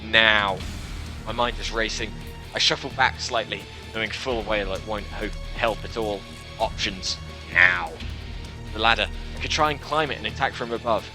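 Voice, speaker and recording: A man reading a game's story aloud in the first person, with an expressive storytelling delivery. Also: on the low side, normal speed, over music. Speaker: video game let's player reading a visual novel aloud. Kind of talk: dramatic first-person narration of game text with casual asides.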